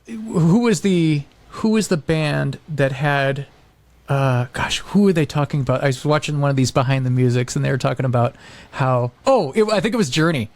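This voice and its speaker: A man's voice: a little high, normal speed, dry recording. A man in casual podcast conversation, telling stories informally and acting out quotes as he goes. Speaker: podcast host.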